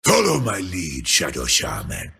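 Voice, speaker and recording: An actor playing a grandiose, menacing troll shadow priest in a video game, proclaiming slowly and theatrically with a gravelly, raspy voice. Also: male voice, extremely low pitch, huge pitch swings, full character voice. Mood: boastful. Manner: proclaiming, theatrical and slow, often shouting.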